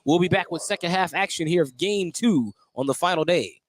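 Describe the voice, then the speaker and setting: A man's voice: fairly high pitch, brisk. A man doing live play-by-play basketball commentary as a radio announcer.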